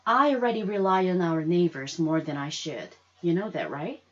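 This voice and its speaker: A woman's voice: lowish voice, lively intonation, normal speed, highs cut off. A woman voicing a hard-working, firm but caring mother in a storybook reading. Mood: firm, weary.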